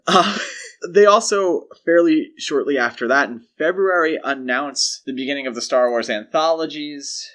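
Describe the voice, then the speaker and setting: A man with a medium-pitched voice co-hosts a casual discussion podcast. He explains things step by step in a conversational way, as if talking a topic through with a partner. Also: a bit bright. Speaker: podcast co-host. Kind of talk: casual film franchise discussion.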